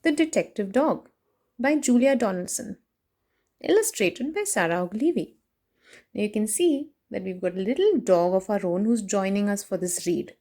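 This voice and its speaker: A woman doing an expressive children's picture book read-aloud, playful in her emphasis, with chatty asides to her young listeners. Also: mid-range voice, normal speed. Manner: animated, sing-song rhyme reading with playful emphasis. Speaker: children's story reader and host.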